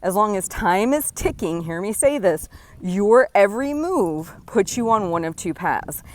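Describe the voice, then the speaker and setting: A woman in her forties hosting a podcast, talking earnestly and encouragingly straight to the listener, often checking in with a quick "right?". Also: medium pitch, expressive. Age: 40s. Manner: earnest, encouraging, direct address with frequent "right?". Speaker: podcast host. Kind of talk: motivational solo talk.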